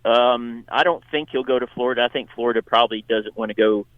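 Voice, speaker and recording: A man giving his take as a guest journalist in an unscripted sports radio interview, conversational and halting, restarting phrases as he goes. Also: mid-range voice, steady tone, dry recording, phone-quality sound.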